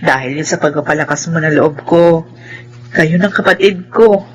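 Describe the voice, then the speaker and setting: An actress playing a kind, forgiving middle-aged mother in a radio drama, with a gentle, motherly manner. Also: sits low, measured pace, slightly rough, exaggerated voice, poor audio. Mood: pleased, friendly.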